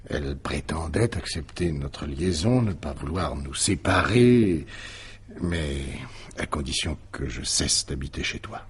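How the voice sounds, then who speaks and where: An actor playing a defensive, evasive middle-aged husband in a French radio mystery drama, hesitant and self-justifying. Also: male voice, very deep voice, expressive, a little slow, husky, exaggerated voice. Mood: troubled, confiding. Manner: hesitant, self-justifying, emphatic when challenged. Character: evasive, defensive husband suspected in his wife's disappearance.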